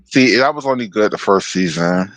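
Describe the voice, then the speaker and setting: A man with a deep voice co-hosting a podcast, talking bluntly and emphatically, swearing freely. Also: lively intonation, moderate pace, a bit bright, no echo, poor audio. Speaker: podcast co-host. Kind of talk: casual chat.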